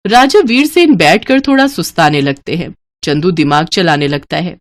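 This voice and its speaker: A woman's voice: lowish voice, expressive, moderate pace, dry recording, studio quality. A woman narrating a children's moral tale as scripted storytelling.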